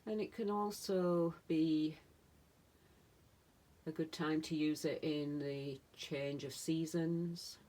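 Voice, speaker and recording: A woman meditation teacher leading a guided relaxation in a soothing manner, speaking in short phrases with long pauses. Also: low-pitched, fairly even intonation, mellow. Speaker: yoga nidra meditation teacher.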